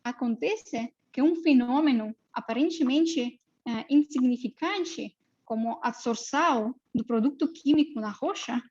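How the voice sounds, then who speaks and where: A woman's voice: high-pitched, unhurried, a little mellow, muffled recording. A young woman from Russia, speaking with a Russian accent, gives a hesitant seminar lecture full of fillers. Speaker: postdoctoral researcher.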